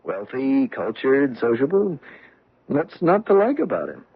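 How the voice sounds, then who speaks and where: An actor playing an affable, unsuspecting husband in a radio drama, easygoing and casually hesitant. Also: male voice, medium pitch, very animated, unhurried, husky, heavily acted. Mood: breezy. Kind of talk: old-time radio drama acting. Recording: tinny, band-limited vintage radio broadcast.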